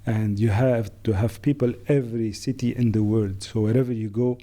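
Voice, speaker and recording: A middle-aged man from Lebanon, a managing director answering interview questions in a thoughtful, halting way with many fillers. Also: lowish voice, fairly even intonation, dark tone.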